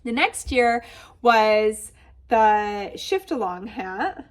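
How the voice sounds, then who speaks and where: A woman's voice: on the high side, lively intonation, extremely slow. A woman with a Michigan accent hosting a knitting podcast, congested and a little nasal, chatting casually with lots of asides. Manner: chatty, bubbly, with many asides. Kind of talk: casual chat.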